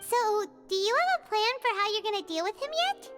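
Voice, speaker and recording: Tiny game fairy girl, extremely high childlike voice, very animated, easily flustered, clear background music. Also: brisk. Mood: curious. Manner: speaks of herself in third person. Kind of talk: video game voice acting. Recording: music behind the voice.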